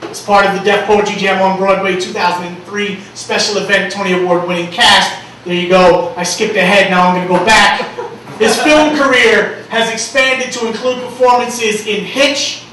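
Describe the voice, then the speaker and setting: A man emcees a poetry night, his voice high and bright with a sharp edge. He jokes loosely and swears casually as he introduces the next act. The sound is heard from a distance in a very echoey lounge, with faint room ambience behind him. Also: steady tone, normal speed, slight background noise. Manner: loose, profane, joking emcee banter. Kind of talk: live stage introduction. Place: bar lounge performance room in Manhattan.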